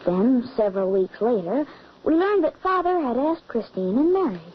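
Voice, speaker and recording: An anxious, earnest young girl in a vintage radio drama, high and lively in pitch. The recording is dull with a faint hiss behind her. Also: normal speed. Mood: narrating. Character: frightened young girl narrating her past. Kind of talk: 1940s radio acting.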